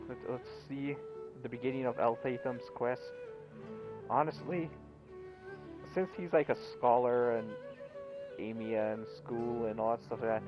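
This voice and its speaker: Casual male gamer over clear music. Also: mid-range voice, poor audio. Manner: rambling, informal. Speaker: gaming streamer. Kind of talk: casual playthrough commentary.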